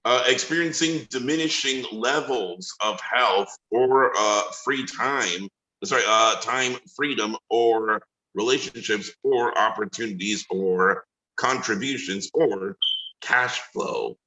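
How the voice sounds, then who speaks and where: Deep-voiced man, slow and hesitant. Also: sharp tone, echoey room, low-quality recording. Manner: hesitant, searching for words with many fillers. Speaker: coaching participant. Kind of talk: hesitant conversation on a video call.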